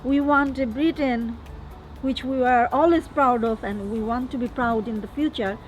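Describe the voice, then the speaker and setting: A woman with a high voice speaks earnestly, pressing harder on key points. Faint background noise hangs behind her. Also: normal speed, highs cut off. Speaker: university lecturer. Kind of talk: interview answer. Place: outdoors in a garden.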